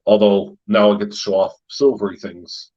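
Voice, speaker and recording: Middle-aged American host, husky voice, muffled stream audio, rambling chat. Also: male voice, lowish voice, fairly even intonation, normal speed, a little mellow. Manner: rambling, with many fillers and laughs. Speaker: YouTube channel host; retired paramedic. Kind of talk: casual live-stream chat.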